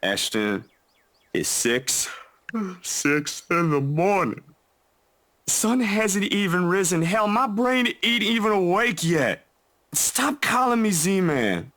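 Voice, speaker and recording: A man's voice: sits high, big pitch swings, slow, fairly bright tone, exaggerated voice. An actor playing a young man in a visual novel, a caring but dryly grumbling friend with a weary manner. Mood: groggy, grumpy.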